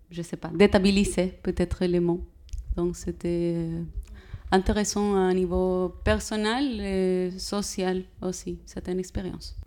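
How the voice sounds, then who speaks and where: A woman who is not a native French speaker answers interview questions slowly, with frequent hesitations. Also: fairly low pitch, mellow. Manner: halting learner's French, many hesitations.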